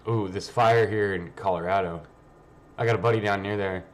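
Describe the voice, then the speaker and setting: A man's voice: lowish voice, fairly even intonation, moderate pace, heavy background noise. A man hosting a livestream, rambling casually and reading news aloud in a blunt, sweary, offhand way. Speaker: livestream host.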